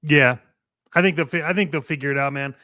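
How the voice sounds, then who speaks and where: A man co-hosting a casual talk show, conversational and halting, often repeating his words as he thinks. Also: fairly high pitch, sharp tone, no echo, clean studio sound. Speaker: show co-host. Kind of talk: casual chat about toy collecting.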